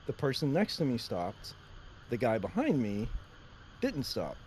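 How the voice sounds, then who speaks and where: A man with a deep voice who tells his stories loosely, circling back and chuckling as he goes. He talks like a relaxed podcast co-host, with faint noise underneath. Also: a little slow, low-quality recording. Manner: rambling storyteller, laughs often. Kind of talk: casual chat.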